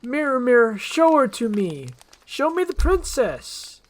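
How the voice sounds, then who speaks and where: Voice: sits high, very animated, a little slow, pitched above the performer's own voice. A performer voicing an imperious, infatuated villainous lord in an acted screenplay reading. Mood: commanding, smitten.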